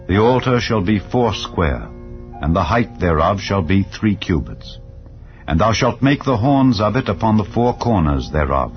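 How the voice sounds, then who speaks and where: Deep-voiced man solemnly reading scripture over music. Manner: measured, formal, declamatory. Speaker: scripture narrator. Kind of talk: solemn dramatized Bible reading. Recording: slightly dull audio with background music. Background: soft background music.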